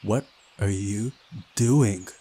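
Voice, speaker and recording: A man voicing a guarded, resentful young woman who is quick to anger, in a visual novel reading. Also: on the low side, dark tone, a little hiss or hum.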